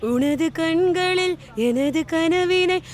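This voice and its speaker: A woman's voice: extremely high pitch, extremely slow. A woman singer performing Tamil film songs as a guest out in a public place. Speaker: singer, guest on a prank call show. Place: outdoors in a public street.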